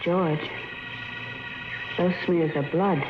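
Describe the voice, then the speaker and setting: A woman playing an anxious, gentle mother who speaks in a soft, worried way, in 1950s radio drama acting. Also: fairly low pitch, fairly even intonation, extremely slow, music in the background. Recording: muffled, loudly hissing 1950s radio broadcast.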